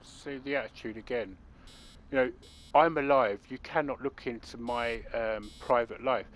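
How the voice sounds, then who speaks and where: A man's voice: medium pitch, moderate pace. A man giving casual, rambling, opinionated commentary on a video game. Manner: rambling and opinionated, many fillers. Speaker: gaming commentator.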